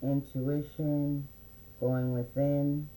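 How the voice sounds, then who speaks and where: A man giving a relaxed, meandering spiritual card reading, reading affirmations aloud slowly, with many fillers. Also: on the high side, reverberant, low-quality recording. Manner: slow, reads affirmations aloud. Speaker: spiritual reader.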